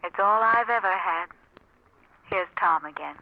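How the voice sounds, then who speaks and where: Actress playing a mysterious seductive woman, smooth and soft, languid, coy, 1940s radio drama, tinny thin sound. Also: medium pitch, measured pace, close to an everyday voice. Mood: coy, cool.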